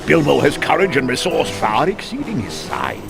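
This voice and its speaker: A proud dwarf leader in a fantasy game. He declaims formally in a creaky voice with plenty of rise and fall. The manner is stern and pompous. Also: mid-range voice, wildly swooping pitch, moderate pace, over loud music. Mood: proud, solemn. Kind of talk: video game voice acting.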